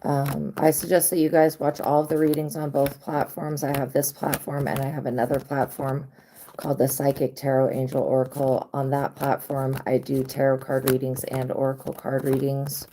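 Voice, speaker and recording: A woman tarot reader hosting a live stream in a casual monologue, with a hoarse, worn-out voice and a blunt, profane way of talking. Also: very deep voice, level tone, normal speed, mellow, a little hiss or hum, low-quality recording. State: hoarse, lost voice.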